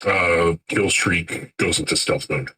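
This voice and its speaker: A male player talks casually during a tabletop roleplaying session. His voice is very low and heavily husky, with a bright, forceful edge to its rough grain. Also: takes it slowly, rough recording. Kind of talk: casual game chat.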